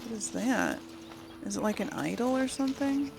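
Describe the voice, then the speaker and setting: A woman's voice: a little high, expressive, moderate pace, vocal fry, background noise. A woman giving casual gameplay commentary, thinking aloud and murmuring to herself as she reads things out. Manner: murmurs to self, reads item text aloud. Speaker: gaming video host.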